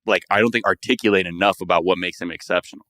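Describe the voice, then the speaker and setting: Male, American, lower-pitched, dry sound. Analytical, long-winded casual discussion. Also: steady tone, fast, bright, forceful tone, no echo. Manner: analytical, wordy, swears casually.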